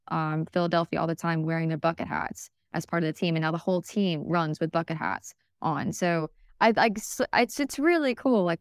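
A woman's voice: on the low side, clear, resonant tone, no echo, clean studio sound. A young American woman, a podcast guest, talking enthusiastically in a casual interview, with lots of fillers and restarts. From United States.

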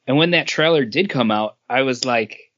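A man's voice: medium pitch, normal speed, fairly bright tone, lo-fi sound. A man co-hosting a podcast, talking casually with his friends. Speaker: podcast co-host. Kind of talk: casual panel chat.

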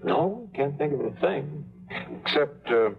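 An actor playing a tough, hard-drinking pilot turned tour guide, narrating and talking in clipped, dry, hard-boiled lines in a 1950s radio drama. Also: male voice, fairly high pitch, lively intonation, slow pace, vocal fry, exaggerated voice. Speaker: narrator and lead. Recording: lo-fi old radio broadcast with music.

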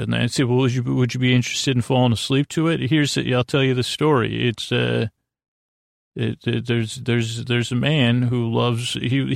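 A man hosting a sleep podcast, rambling in a halting, meandering way with self-deprecating, mildly silly wordplay. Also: mid-range voice, creaky, no echo, clean studio sound. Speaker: podcast host. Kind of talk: rambling bedtime monologue, loose storytelling.

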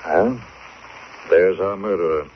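Muffled hissy old radio, urbane decisive sleuth, low voice, clipped, authoritative, assured. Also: male voice, takes it slowly. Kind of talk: 1940s radio mystery acting.

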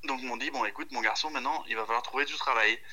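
Very bright-voiced man, hesitant and informal. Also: medium pitch, rapid-fire. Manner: hesitant, informal, thinking aloud. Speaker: chef, podcast guest. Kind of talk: casual chat over the phone. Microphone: phone call.

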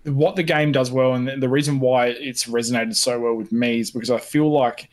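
Mid-pitched man chatting on a podcast. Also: fairly even intonation, a little fast. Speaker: gaming podcast co-host. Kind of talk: casual discussion, opinion.

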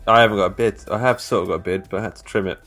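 A man's voice: on the low side, steady tone, rapid-fire, a bit bright, soft background music. A man hosting a horror film podcast, chatting casually with his co-host and often trailing off mid-sentence. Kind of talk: casual chat.

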